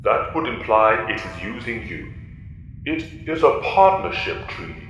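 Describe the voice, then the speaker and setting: A man playing a wise, commanding alien mentor in an audio drama, speaking in a formal, grave, measured way. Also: very animated, fairly bright tone. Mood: solemn, commanding. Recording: booming long reverb, dull, noisy.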